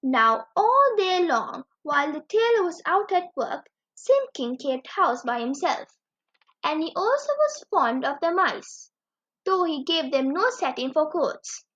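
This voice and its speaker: A woman reading a children's story aloud as a storyteller, with expressive, animated delivery. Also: sits high, a little slow. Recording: dull lo-fi recording.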